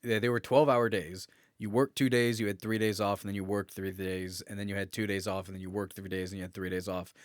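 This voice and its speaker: A man's voice: fairly low pitch, little pitch movement, quick pace, dry recording, clean studio sound. A man talking casually as a podcast co-host, informal and mildly profane as he swaps stories. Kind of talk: casual chat, swapping work stories.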